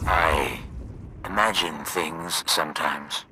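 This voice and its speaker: A deep, piercingly edged voice of a haunted man from a video game. He speaks extremely slowly and haltingly, dazed and distracted. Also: fairly even intonation, bright, forceful tone, faint music behind, heavily acted.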